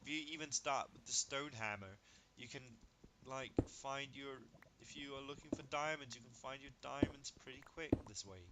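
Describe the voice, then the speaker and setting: A man with a Danish first language talks through his game in an easy, chatty way. He gets excited over each result and does sums aloud, over a clear steady hiss. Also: mid-range voice, normal speed. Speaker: gaming video creator. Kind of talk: casual gameplay commentary.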